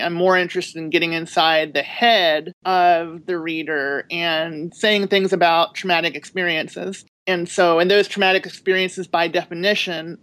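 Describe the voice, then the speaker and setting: A woman's voice: lowish voice, no room sound. Reflective woman author, dry sound. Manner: reflective, thoughtful, steady explaining. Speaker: horror author. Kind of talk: panel discussion answer.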